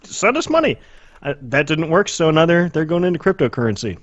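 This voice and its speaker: A man's voice: fairly high pitch, very animated, brisk, no room sound, dull audio. A man co-hosting a podcast with casual, profane banter, sarcastic and given to joking exaggeration. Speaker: podcast co-host.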